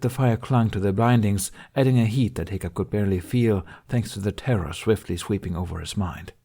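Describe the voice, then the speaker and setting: Low, slightly husky male audiobook narrator. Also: darkish tone. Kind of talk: scripted story narration.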